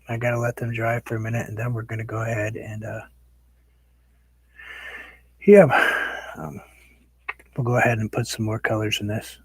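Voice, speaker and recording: Raspy-voiced man chatting while painting. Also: medium pitch, mellow, no echo, poor audio. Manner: rambling, talks to viewers while working. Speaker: painting livestream host. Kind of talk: casual chat while painting.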